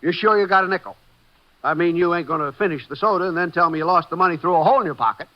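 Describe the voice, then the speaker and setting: An actor playing a folksy small-town Texas cafe owner in a 1950s radio drama, with a Texan accent. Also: male voice, high-pitched, rapid-fire, lightly acted. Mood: teasing. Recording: noisy, muffled old-time radio recording.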